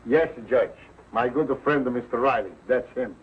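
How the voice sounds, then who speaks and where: An actor playing an eager, earnest immigrant with an Italian accent and broken English, in an early television sitcom. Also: male voice, medium pitch, normal speed, slightly rough. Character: eager, earnest immigrant man seeking citizenship. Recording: muffled old 1940s broadcast.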